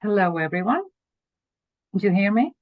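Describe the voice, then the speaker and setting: A woman's voice: mid-range voice, lively intonation, normal speed, no room sound. A woman introducing herself on a video call, speaking hesitantly with many fillers. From Estonia. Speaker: senior lecturer and researcher. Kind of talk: webinar self-introduction. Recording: dull, dry video-call audio.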